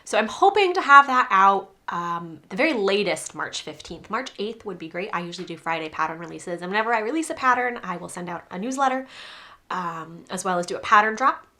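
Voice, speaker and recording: A chatty woman talks casually to her viewers about yarn and patterns. Her voice is bright, though a little congested from a cold. She rambles easily and laughs often. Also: mid-range voice, expressive, brisk. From New England. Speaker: crochet designer and podcast host. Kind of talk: casual chat to camera.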